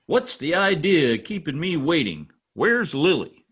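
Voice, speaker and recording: A man's voice: fairly high pitch, very animated, a little slow, a bit bright, breathy edge, full character voice. A man in his sixties playing a grandiose, melodramatic, blustering husband in an old-time radio comedy over a video call. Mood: indignant. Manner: theatrical, grandiose, overwrought. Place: home office, video call. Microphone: computer mic on video call.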